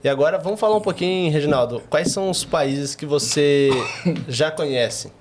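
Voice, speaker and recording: Man hosting, slightly high voice. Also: moderate pace, background noise. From Brazil. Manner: short reactions, many exclamations. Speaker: podcast host. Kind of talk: casual interview chat.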